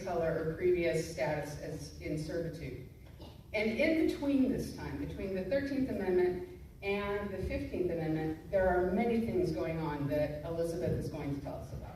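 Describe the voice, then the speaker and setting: A woman's voice: lowish voice, mellow. Echoey, distant woman giving an emphatic presentation. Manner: emphatic, rhetorical questions, deliberate phrasing. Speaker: federal prosecutor giving a public presentation. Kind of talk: public presentation with emphatic explanation. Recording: distant, very echoey room, noisy live stream.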